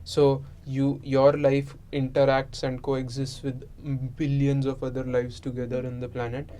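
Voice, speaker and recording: A man's voice: medium pitch, completely flat delivery, unhurried, mellow. Reflective man chatting over faint noise. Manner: reflective, explanatory. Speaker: participant in an unscripted discussion. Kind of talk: casual philosophical chat. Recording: noisy room ambience.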